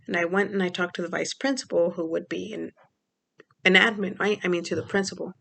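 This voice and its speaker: Gravelly-voiced woman from Mexico, rambling vlog. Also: fairly low pitch, a little fast, fairly bright tone. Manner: rambling, many fillers. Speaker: vlogger. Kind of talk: casual personal vlog.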